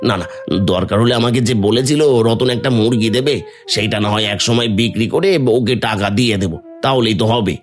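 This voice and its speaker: A man voicing Nimai, a poor and kind village man in a Bengali cartoon, who talks earnestly and thinks out loud. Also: medium pitch, brisk, soft background music, lightly acted. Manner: earnest, thoughtful, speaking his thoughts aloud. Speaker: voice actor.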